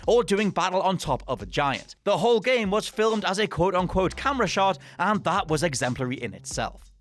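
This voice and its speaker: A man's voice: high voice, fairly bright tone. A man presenting a scripted countdown list as a narrator, with an emphatic, dramatic delivery. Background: music under the voice.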